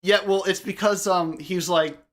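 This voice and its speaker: A man chatting casually as a podcast member, often halting and rephrasing himself as he goes. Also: high-pitched, fairly even intonation. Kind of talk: casual group chat.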